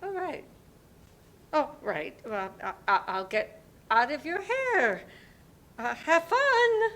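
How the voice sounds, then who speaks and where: A woman's voice: high-pitched, very animated, slow, a bit bright, heavily acted. A woman playing a nosy, doting older neighbor in a dramatic play reading, gushing theatrically with endearments. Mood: flustered. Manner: gushing, theatrical, calls people dear and darling.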